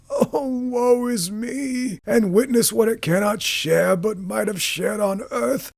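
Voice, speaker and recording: Man doing a mournful old ghost, raspy, breathy, slow wailing delivery, storybook reading. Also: medium pitch, no room sound, voice pushed up. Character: doomed old male ghost, mournful and solemn.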